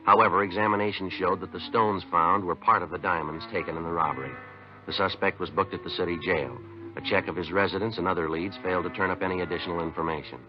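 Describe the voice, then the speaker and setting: Actor as low-voiced, clipped police detective. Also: male voice, lightly acted. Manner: clipped, matter-of-fact. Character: terse, no-nonsense police detective sergeant, also narrating. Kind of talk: 1950s radio police drama acting. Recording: dull 1950s radio recording.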